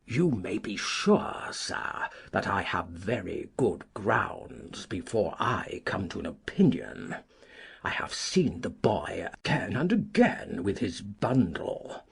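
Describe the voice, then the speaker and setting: A woman voicing a cantankerous, self-important old gentleman in an audiobook, with a husky, gravelly voice and pompous, emphatic delivery. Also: rumbling low, very animated, slow, voice pushed down, exaggerated voice. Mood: scornful, pompous. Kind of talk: audiobook character reading.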